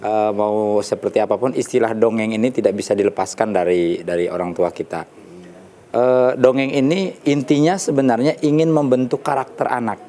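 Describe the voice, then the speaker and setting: A man who is an Islamic storyteller and teacher, giving a measured explanation with occasional fillers in a TV studio interview. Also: fairly low pitch, mellow, a little hiss or hum.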